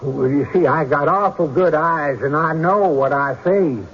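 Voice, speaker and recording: A male actor plays a plain-spoken country man in a radio courtroom drama. He talks slowly and hesitantly, with a folksy turn. The sound is dull, with clear background noise. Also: fairly high pitch, natural voice. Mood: flustered, defensive. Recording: noisy, dull old radio recording.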